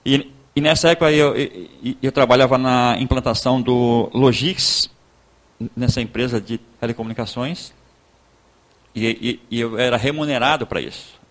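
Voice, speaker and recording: A man with a southern Brazilian accent from Rio Grande do Sul, preaching informally in a conversational, halting storytelling way, repeating words and acting out dialogue. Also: medium pitch. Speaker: pastor giving a talk. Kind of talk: informal sermon with personal testimony.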